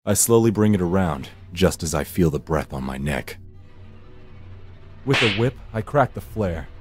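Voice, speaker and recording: A man's voice: sits low, animated, a little slow, slightly rough, faint music behind. A man reading first-person horror narration for a visual novel in a brooding, theatrical storytelling manner.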